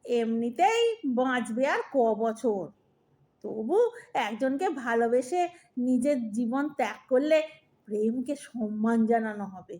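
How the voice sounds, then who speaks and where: A woman's voice: a little high, animated, a little slow, dark tone. A woman playing an exasperated old wife who scolds her husband with sarcasm and mock sweetness in a comic audio drama. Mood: sarcastic.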